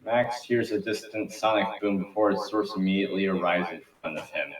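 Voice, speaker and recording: A man's voice: sits low, brisk. A man reading scripted narration as the narrator of an amateur table read. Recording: roomy, distant mic with faint noise.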